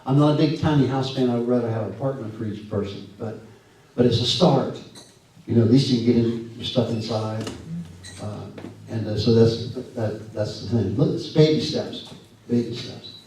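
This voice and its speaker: A man with a scratchy voice giving a folksy, earnest talk at a church and answering questions, halting often with fillers and restarts. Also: medium pitch, a little mellow. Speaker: guest speaker. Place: church sanctuary. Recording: echoey hall from far off.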